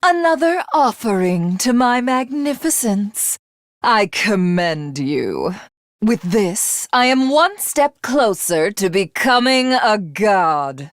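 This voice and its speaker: A woman's voice: medium pitch, sing-song, slow, no room sound, clean studio sound. A woman voicing a haughty, ruthless alien villainess in a video game, with a commanding, imperious and grandiose delivery.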